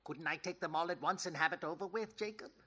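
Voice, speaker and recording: A man's voice: on the high side, quick pace, pushed and bright, breathy edge. An actor playing a skeptical, miserly, easily frightened old businessman in a theatrical 1930s radio play style. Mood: reluctant, querulous. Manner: theatrical, querulous.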